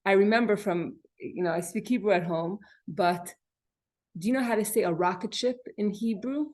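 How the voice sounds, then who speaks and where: A woman teaching an informal class, explaining word meanings haltingly, repeating and rephrasing her points and putting questions to her listeners. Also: medium pitch, darkish tone. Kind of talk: informal spoken lesson explaining Hebrew vocabulary.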